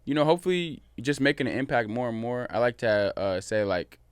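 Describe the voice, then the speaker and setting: A man in his twenties from Washington State, a podcast guest talking in a relaxed, easygoing way. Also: medium pitch, fairly quick, smooth, dry recording. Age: 20s. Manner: relaxed, easygoing, many fillers. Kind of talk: casual podcast chat.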